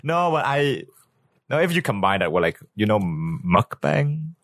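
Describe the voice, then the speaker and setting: A man's voice: medium pitch, very animated, normal speed, no echo, studio quality. A Vietnam-born man in his twenties, a podcast guest, talking casually and hesitantly with many fillers. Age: 20s.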